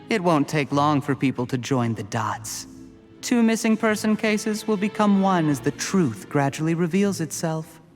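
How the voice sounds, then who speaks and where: A fairly high-pitched male villain played by a voice actor, cold and scheming, in a video game. He speaks in measured, theatrical lines with mocking politeness, and music plays underneath. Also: close to an everyday voice. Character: cold, calculating official turned smug poisoner, gloating villain. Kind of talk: scripted video game voice acting.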